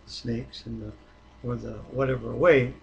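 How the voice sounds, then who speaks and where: A man reading the Bible aloud and discussing it informally, with a halting delivery that stumbles over words. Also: medium pitch, level tone, darkish tone, highs cut off, a little hiss or hum. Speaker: Bible reader.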